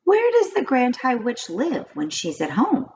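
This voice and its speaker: A woman's voice: fairly high pitch, huge pitch swings, unhurried, darkish tone, muffled recording, voice pushed up, exaggerated voice. A woman voicing an anxious, curious young grandchild in a children's storybook, asking questions with wide-eyed eagerness. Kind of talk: children's storybook read-aloud.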